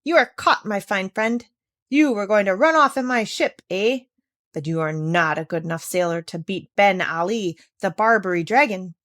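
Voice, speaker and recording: A woman's voice: medium pitch, lively intonation, moderate pace, a bit bright, close to an everyday voice. Ben Ali, a woman doing a gloating pirate captain. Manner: gloating, blustering. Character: boastful male pirate captain, a bully.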